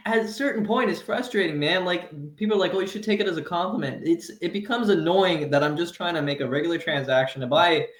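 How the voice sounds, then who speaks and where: A fired-up man in his thirties rants on a comedy podcast, swearing freely and laughing through his gripes. His voice sits a little high, and the room around him rings with a roomy echo. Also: fast talker, few pauses, a bit bright. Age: 30s. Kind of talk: casual podcast chat.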